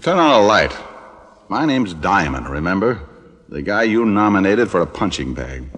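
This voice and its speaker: Low-voiced, wisecracking radio private eye. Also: male voice, big pitch swings, normal speed, husky, exaggerated voice. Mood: dry, defiant. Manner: sardonic, dry wit. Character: wisecracking, unflappable male private detective. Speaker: lead actor and narrator. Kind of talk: 1940s radio detective acting with first-person narration. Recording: old radio recording with loud music.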